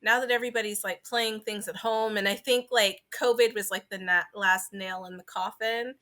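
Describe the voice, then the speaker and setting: A woman co-hosting a podcast in casual chat, halting and leaning often on filler words. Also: medium pitch, steady tone, sharp tone. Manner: halting, filler-heavy with frequent "like". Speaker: podcast co-host.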